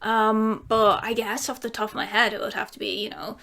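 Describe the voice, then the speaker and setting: A woman's voice: medium pitch, little pitch movement, fairly quick, creaky, fairly bright tone. Giggly, rambling woman chatting to camera. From England. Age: 30s. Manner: breaks into bits of song. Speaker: YouTuber answering viewer questions. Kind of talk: casual Q&A chat to camera.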